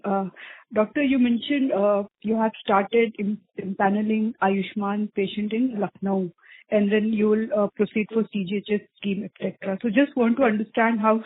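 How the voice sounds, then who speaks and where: Even-toned woman on an echoey phone line. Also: medium pitch. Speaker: analyst asking questions. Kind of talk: earnings call question.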